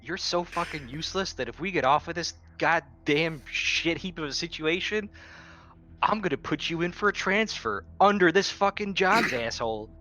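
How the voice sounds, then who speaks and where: A man's voice: on the high side, animated, moderate pace, pushed and bright. A blunt, sweary man playing a tabletop roleplaying game in casual in-character banter.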